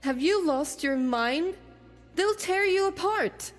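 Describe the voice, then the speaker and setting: An actress playing a wary, earnest goddess of war in a visual novel, serious and formal. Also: female voice, very high-pitched, lively intonation, slow pace, heavily acted. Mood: wary, incredulous. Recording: long reverb, music behind.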